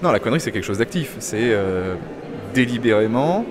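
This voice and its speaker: A man's voice: medium pitch, big pitch swings, normal speed, crowd noise. A man on the street explaining his view at length in a reflective way. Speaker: passer-by. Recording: urban traffic noise.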